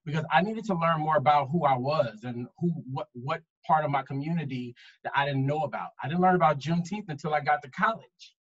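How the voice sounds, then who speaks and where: A man speaking earnestly as a panelist in a webinar discussion, explaining at length with pauses. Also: a little high, husky, highs cut off. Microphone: video call.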